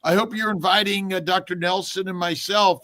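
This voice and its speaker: A man who is a doctor, co-hosting a casual online talk, upbeat and halting, with many fillers. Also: high voice, fairly even intonation, very noisy. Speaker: doctor and co-host. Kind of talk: casual livestream chat.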